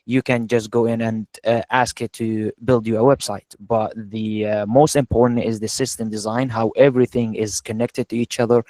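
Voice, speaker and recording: Man from Syria, fairly even delivery. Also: lowish voice, moderate pace, few pauses, no echo. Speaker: guest speaker, software engineer. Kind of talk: informal webinar talk. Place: online video call.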